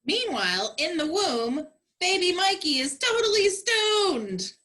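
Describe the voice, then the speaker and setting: A woman's voice: very high voice, big pitch swings, takes it slowly, pushed and bright. A woman comedian delivering sassy, emphatic and theatrical scripted narration.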